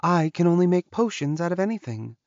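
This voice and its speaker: A man's voice: high voice, very animated, a little fast, darkish tone, dry recording, highs cut off, voice pushed up. A man voicing a friendly, proud female witch who teases and boasts, as a character in a fantasy audiobook. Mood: proud, playful. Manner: teasing, boastful. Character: friendly, proud, giggly female witch, sly potion maker.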